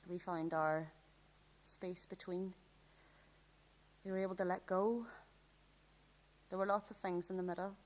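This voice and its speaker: A woman's voice: lowish voice, steady tone, unhurried, long pauses, lightly acted. An actress playing an open, tender, lovestruck teenage girl in a radio drama, speaking in a natural, hesitant way. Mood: wistful narration.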